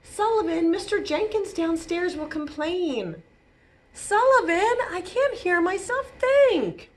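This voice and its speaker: A woman's voice: very high voice, very animated, takes it slowly, voice pushed up, exaggerated voice. A woman voicing a weary, exasperated mother pleading with her noisy son, in a storybook reading.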